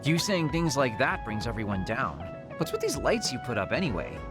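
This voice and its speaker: Sharp-tongued teasing youngster, casual, very animated pitch, anime game, music behind. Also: female voice, normal speed. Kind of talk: anime-style game voice acting.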